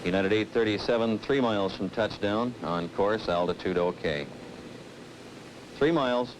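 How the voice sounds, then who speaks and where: A man playing a steady radar approach controller, giving precise instructions in formal radio phrases. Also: fairly low pitch, moderate pace, natural voice. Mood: steady, focused. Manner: methodical, even, precise. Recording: noisy old film soundtrack.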